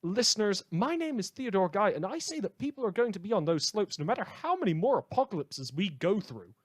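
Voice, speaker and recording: A man's voice: high-pitched, sing-song, fairly quick, dry recording, clean studio sound. A man playing an opinionated, darkly comic radio host in an apocalyptic world, delivering a scripted monologue in a theatrical, wry manner. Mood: impassioned. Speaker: radio host character in a fan audio drama.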